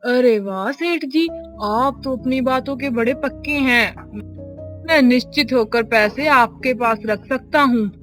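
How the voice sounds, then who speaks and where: A woman acts out a trusting landlord in a cartoon moral tale. The voice is on the high side, formal and deferential, earnest in its courtesy. Soft music runs underneath. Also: measured pace. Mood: pleased, grateful. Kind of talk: Hindi moral-story cartoon dialogue. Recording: faint music behind the voice.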